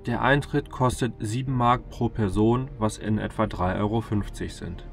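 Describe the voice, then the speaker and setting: A man giving a scripted voice-over narration as a travel vlogger. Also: medium pitch.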